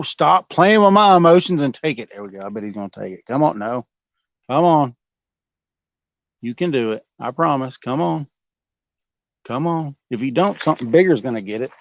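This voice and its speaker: A man's voice: on the high side, gravelly, dry recording. Male fishing host, 40s, easygoing outdoor banter, close dry lo-fi sound. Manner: folksy, joking, coaxes the fish aloud. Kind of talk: casual chat while night fishing. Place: outdoors on a lake at night.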